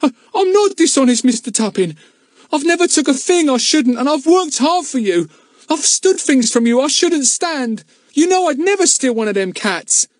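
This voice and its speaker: A man's voice: sits high, steady tone, a little slow, a little mellow, dry recording, clean studio sound, voice pushed up. A man voicing a frightened young male gardener who stammers and pleads. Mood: pleading.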